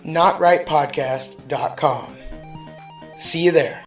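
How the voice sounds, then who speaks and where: A man's voice: a little high, takes it slowly. Casual comedy podcast guy plugging his show, roomy echo, music under him. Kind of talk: casual comic promo.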